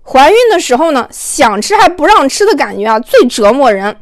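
A woman narrating an audiobook in an expressive, conversational storytelling style. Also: high-pitched, normal speed, no echo, clean studio sound.

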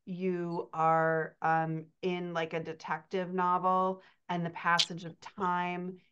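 A middle-aged woman coaches in a casual, conversational podcast style. Her voice sits a little low, and the video-call recording sounds slightly dull. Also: monotone, slow pace, fairly bright tone, slight background noise. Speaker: dialogue coach, podcast guest host. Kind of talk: casual explanatory podcast chat.

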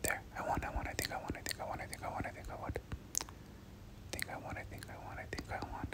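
A person doing whispered ASMR game commentary, speaking casually in gamer slang and often repeating short phrases. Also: quick pace. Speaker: gameplay commentator.

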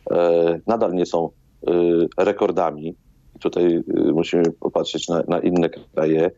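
Male medical guest, forties, low mellow voice, halting explanations, dull video-call sound. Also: no echo. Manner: hesitant, repeats words as he explains. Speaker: doctor, hospital chief interviewed as guest. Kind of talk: live radio interview answers.

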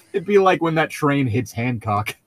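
Animated, casual man in close, dry sound. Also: fairly high pitch, slow pace, no echo. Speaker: podcast host reading aloud with friends. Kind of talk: casual joking chat and group read-aloud of a novel. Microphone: close mic on an online voice call.

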